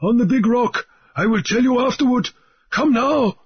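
A man's voice: very high voice, moderate pace, breathy edge, highs cut off, voice pushed up, exaggerated voice. A man voicing a breathless island guide who urges his friend to flee. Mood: urgent. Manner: breathless, urgent.

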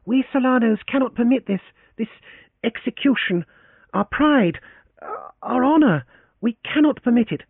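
A man's voice: very high-pitched, expressive, moderate pace, gravelly, no echo, voice pushed up, exaggerated voice. A man voicing a fiery, proud young noblewoman who speaks with scornful, impassioned urgency. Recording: dry, tinny phone-like sound.

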